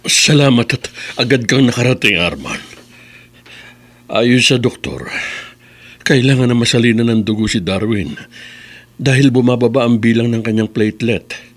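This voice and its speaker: A man's voice: medium pitch, lively intonation, normal speed, long pauses. A man playing a concerned older fatherly figure in a Filipino radio drama, solemn as he explains. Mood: grave, concerned.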